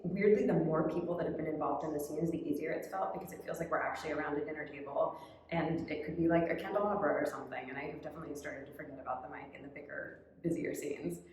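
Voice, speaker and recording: A woman's voice: low-pitched, fairly even intonation, quick pace, a little mellow, recorded from a distance. A woman actor chatting casually in an interview. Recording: very echoey hall, off-mic.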